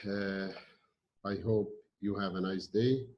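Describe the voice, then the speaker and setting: A man's voice: lowish voice, fairly even intonation. Hesitant Egyptian man on a dull call. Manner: hesitant, many filler pauses. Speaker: webinar host and university teacher. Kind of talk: casual online meeting talk. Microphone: video call.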